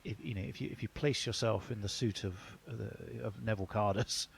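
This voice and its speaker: A man's voice: lowish voice, a little fast, gravelly, a little hiss or hum. A man presenting cricket radio, interviewing a guest in a hesitant way with many restarts. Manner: hesitant, stumbling over restarts. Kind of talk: conversational interview.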